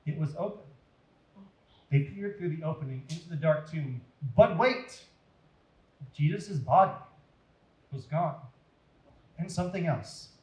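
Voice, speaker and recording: High-voiced American man telling stories, roomy sound. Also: animated, a little slow, pauses often, a little mellow. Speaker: children's storyteller and teacher. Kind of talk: expressive story reading and simple explanation.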